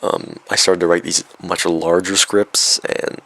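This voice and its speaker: A young man, raspy from a cold, talking unscripted in a rambling, self-mocking way with lots of fillers. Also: deep voice, flat delivery, a little slow, fairly bright tone, no echo. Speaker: video creator. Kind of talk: unscripted vlog.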